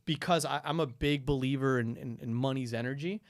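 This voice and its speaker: Young dude from ecuador, casual storytelling, lots of restarts, dry close sound. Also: male voice, medium pitch, fairly quick, no room sound. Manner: animated storytelling, frequent "dude" and "like", many restarts. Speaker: guest. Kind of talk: casual podcast conversation.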